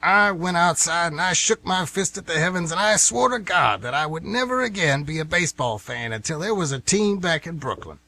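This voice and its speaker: A man's voice: on the high side, expressive, fairly quick, hardly any pauses, pushed and bright, full character voice. An actor with an American accent playing an indignant, stubborn older man, folksy and emphatic, in a radio play. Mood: stubborn, aggrieved. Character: stubborn, indignant old male former baseball fan.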